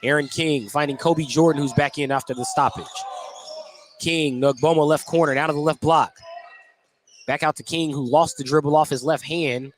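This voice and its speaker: A man's voice: a little high, fairly even intonation, normal speed, slight background noise. A man doing live play-by-play commentary of a basketball game. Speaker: play-by-play announcer. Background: basketball gym ambience, bouncing balls.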